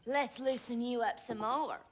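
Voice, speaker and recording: A woman's voice: fairly high pitch, steady tone, a little hiss or hum, natural voice. An actress playing a brassy, creepily sweet backwoods farm woman in a video game, flirting and teasing in a Southern American accent. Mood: coy, teasing. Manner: coy, teasing, drawn-out. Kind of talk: video game character acting.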